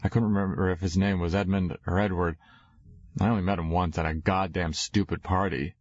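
Deep-voiced man narrating wryly. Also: fairly even intonation, a little fast, darkish tone, dry recording, lo-fi sound. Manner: wry, slangy storytelling. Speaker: audiobook narrator. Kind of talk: scripted first-person narration.

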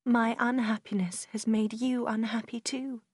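A heartbroken young woman, acted out by a female narrator, pitched high and full of emotion. She is passionate and self-pitying, pleading and tearful in the manner of an audiobook of a classic novel. The sound is close and dry. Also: lively intonation, unhurried, darkish tone, husky, no room sound, full character voice. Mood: tearful, sorrowful. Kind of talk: audiobook character acting in a simplified classic novel.

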